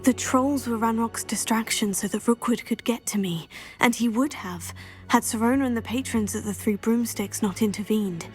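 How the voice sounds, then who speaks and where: A woman's voice: on the high side, moderate pace, soft background music, close to an everyday voice. A woman playing a polite, eager teenage student of magic in a video game, earnest and respectful. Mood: urgent.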